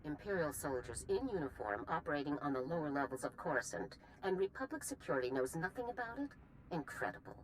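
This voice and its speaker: A woman's voice: very animated, fast, slight background noise, low-quality recording. An actress voicing a stern older female general in a video game, with a clipped, authoritative, formal manner. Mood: concerned, brisk. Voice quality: low-pitched.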